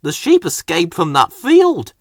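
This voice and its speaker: A man's voice: high-pitched, wildly swooping pitch, unhurried, gravelly, husky, no echo, studio quality, pitched above the performer's own voice, full character voice. A man voicing an earnest, practical, harried male engine driver in a children's storybook. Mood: concerned.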